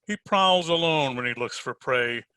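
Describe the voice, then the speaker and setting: A forceful, close and dry male voice, a man acting as a heavyset private eye from 1940s radio drama. He is hard-boiled and wry, delivering wisecracking narration. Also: mid-range voice, lively intonation, moderate pace, clear, resonant tone, no room sound. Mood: wry, ominous.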